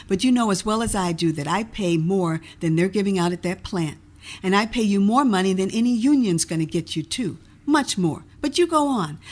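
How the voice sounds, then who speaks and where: A woman's voice: medium pitch, close to an everyday voice. A woman reading as a proud, firm father. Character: proud, firm father who runs a trucking business.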